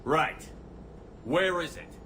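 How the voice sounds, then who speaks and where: An actor playing a stern human military commander in a video game, speaking bluntly and with authority, with music behind him. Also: male voice, a little high, slow, noisy background. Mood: brisk, commanding. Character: stern, hard-nosed human military commander, adult man. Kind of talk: video game cutscene acting.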